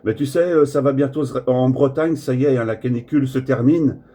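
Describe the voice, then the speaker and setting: A man with a husky, mellow voice chats casually as a radio operator. He rambles and hesitates as he goes, passing the talk back and forth in an easy, informal way. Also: mid-range voice, brisk. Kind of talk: casual radio contact chat.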